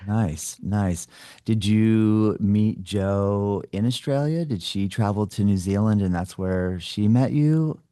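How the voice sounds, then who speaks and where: Male interviewer, lowish and very mellow, closely recorded and dry, chatty and appreciative. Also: slow pace, dry recording. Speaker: podcast host interviewing a guest. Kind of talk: casual conversational interview.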